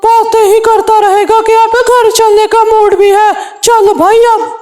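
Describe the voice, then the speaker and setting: A woman's voice: very high voice, little pitch movement, a little slow, warm tone. A woman voicing a sly, bossy male crow with an offhand manner in a children's cartoon. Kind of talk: Hindi children's cartoon dialogue.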